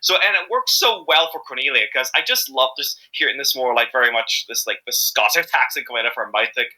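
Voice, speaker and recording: A man's voice: medium pitch, fairly quick, pushed and bright, rough recording. A man with an Irish accent chatting casually as an interview guest, hesitant and rambling but enthusiastic. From Ireland. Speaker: interview guest, amateur fandub voice actor.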